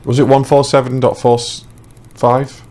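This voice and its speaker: A man's voice: medium pitch, level tone, moderate pace, dark tone. A man chatting casually while he plays a video game with friends. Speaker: let's play player. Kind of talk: casual gaming chat.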